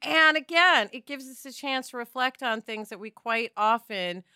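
A woman's voice: high-pitched, moderate pace, pushed and bright, smooth, no room sound, studio quality. An American woman from New York City in a casual panel discussion, talking emphatically and in anecdotes. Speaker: podcast panelist.

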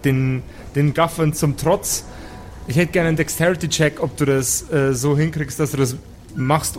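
A man improvising narration as game master of a tabletop role-playing game, halting now and then to search for words. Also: fairly high pitch, a little hiss or hum.

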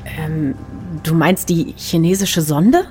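An actress playing a worried mission control operator in an audio drama. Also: female voice, deep voice, takes it slowly, warm tone, soft background music. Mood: worried, hesitant.

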